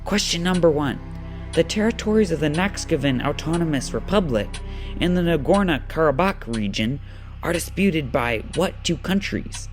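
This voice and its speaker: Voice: mid-range voice, animated, moderate pace, faint music behind, lightly acted. A performer playing an anxious, earnest young boy thinking aloud during an exam in an audio drama. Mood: worried, puzzled. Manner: muttering to himself, earnest.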